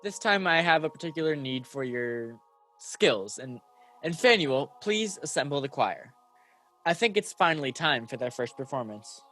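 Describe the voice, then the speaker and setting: A man playing a calm, authoritative messenger angel in a radio play, speaking in a solemn, measured way. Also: a little high, soft background music. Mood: calm, steadfast.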